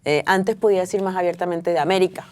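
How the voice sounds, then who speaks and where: Woman talking slow and thoughtful in an interview, low deep voice, lots of restarts. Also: darkish tone, a little hiss or hum. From Venezuela. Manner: reflective, frequent restarts and fillers. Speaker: author and guest. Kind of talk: interview conversation.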